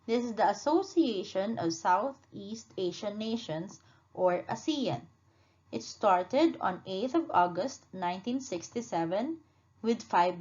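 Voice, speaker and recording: Slow-talking woman teacher, dull lo-fi sound. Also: mid-range voice, a little mellow. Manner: slow, repeats and corrects herself, asks questions. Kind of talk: classroom lesson with read-aloud dialogue.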